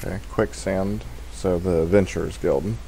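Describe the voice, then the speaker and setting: Guy with a lowish voice chatting over a video game, kinda rambly and hard on himself. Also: steady tone, moderate pace, gravelly, mellow, slight background noise. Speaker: let's play host. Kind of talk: casual gameplay commentary.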